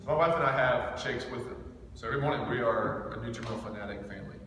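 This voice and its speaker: A man's voice: mid-range voice, fast, fairly bright tone. Intense man training in an echoey room. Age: thirty-seven. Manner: intense, emphatic, direct, peppered with rhetorical questions. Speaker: business team trainer. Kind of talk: informal motivational training talk to a group. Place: echoing indoor room with a small group. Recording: echoey room recorded from far off, faint noise.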